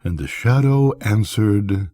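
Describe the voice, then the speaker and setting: An older man reading a gothic bedtime story aloud in a solemn, measured, theatrical manner. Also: on the low side, lively intonation, dark tone. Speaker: storybook reader.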